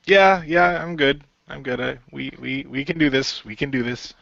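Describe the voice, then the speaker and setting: A man's voice: mid-range voice, normal speed, creaky, a bit bright, no echo, dull audio. A man voicing an earnest, nervous but determined hero in an amateur radio play, hesitant and repeating himself.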